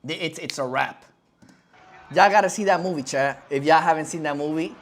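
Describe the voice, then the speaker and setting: A man's voice: a little high, moderate pace. A man whose first language is Spanish, hosting a casual livestream and talking loosely to his chat with lots of fillers. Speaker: livestream host.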